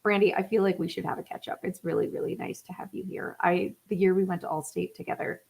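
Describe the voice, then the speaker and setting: A woman's voice: on the low side, fairly even intonation, fast talker, creaky, darkish tone, slightly rough. A woman in her thirties, from the Atlanta area, chatting casually on a live stream as a musician talking with her viewers, rambling with lots of fillers. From United States (Atlanta area). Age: 30s. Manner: chatty and rambling, many fillers, laughs often.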